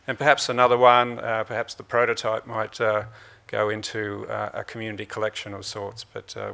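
Gravelly middle-aged man, flat delivery, bright edge, formal opener. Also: on the low side. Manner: slow, halting, formal. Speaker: council representative. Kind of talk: formal introduction. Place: conference stage, indoors.

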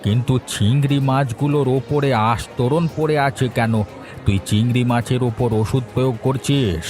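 A man's voice: mid-range voice, normal speed, darkish tone, close to an everyday voice. A man playing a concerned, principled older village uncle in a Bengali cartoon, speaking in an earnest, rustic, familiar way. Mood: scolding. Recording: music behind the voice.

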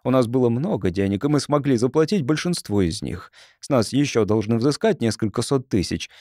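A male audiobook narrator reads fiction expressively. His voice is mid-range with lively intonation, and the recording is dry, with no room sound. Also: mid-range voice, a little fast, no echo.

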